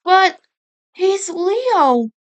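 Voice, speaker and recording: A woman's voice: very high voice, sing-song, extremely slow, voice pushed up, full character voice. A woman voicing an upset, tearful teenage boy turtle ninja who whines stubbornly in a fan story reading. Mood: whining protest. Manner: whining, stubborn, sulky.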